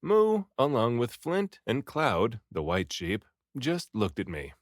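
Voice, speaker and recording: Guy reading a storybook out loud, really animated and theatrical, close dry sound. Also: mid-range voice, slow pace, no echo. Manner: animated, theatrical delivery with acted dialogue. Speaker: audiobook reader. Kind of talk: expressive story read-aloud.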